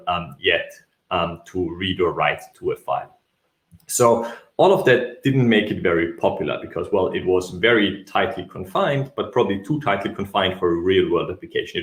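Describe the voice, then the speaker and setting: Low-voiced Austrian man in an echoey room. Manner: relaxed, with frequent ums and self-corrections. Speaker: tech speaker. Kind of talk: live technical talk.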